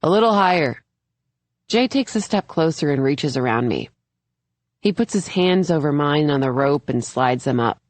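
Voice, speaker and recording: A woman's voice: low-pitched, animated, normal speed, darkish tone, no room sound. A woman reading a first-person thriller audiobook as its narrator, in a scripted storytelling style.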